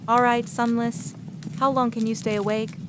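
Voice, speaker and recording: A woman's voice: a little high, little pitch movement, normal speed, background noise, natural voice. A woman voicing a stern, weary old male policeman who gives grave instructions in an audiobook. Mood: serious. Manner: grave, instructing, clipped warnings.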